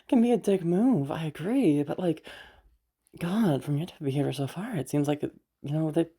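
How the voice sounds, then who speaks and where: A woman playing a teasing, self-assured, sardonic yet protective young woman in a listener roleplay, rambling and halting as she talks. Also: low voice, lively intonation, warm tone, slightly rough. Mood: teasing, reasoning. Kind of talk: roleplay audio drama addressed to the listener.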